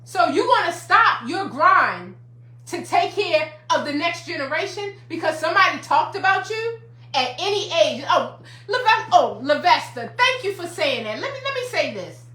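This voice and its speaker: Emphatic fifties woman, lively bright voice. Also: very high voice, moderate pace, echoey room. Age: 50s. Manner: emphatic, repetitive, preacherly. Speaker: counselor and life coach. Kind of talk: motivational livestream talk.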